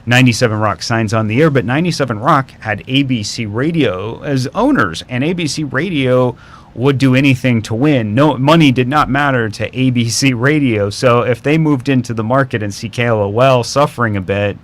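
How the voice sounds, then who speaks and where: A man with a medium-pitched voice talks as an interview guest. He tells stories casually and in detail, like an expert sharing what he has researched. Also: moderate pace. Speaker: interview guest, radio history expert and documentary maker.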